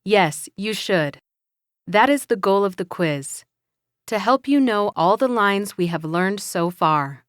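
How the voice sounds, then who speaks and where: Woman doing a firm english teacher, smooth clear voice, slow careful lines. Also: medium pitch, lively intonation, dry recording, studio quality. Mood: instructive. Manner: slow, careful, instructive delivery. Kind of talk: scripted classroom dialogue.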